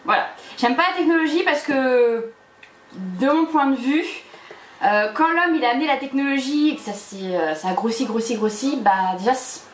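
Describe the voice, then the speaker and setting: A woman talks as a guest in a casual chat, expressive and opinionated, pausing on fillers. Her voice is high and sounds in an echoey room with faint noise behind. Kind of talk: casual chat over a cocktail.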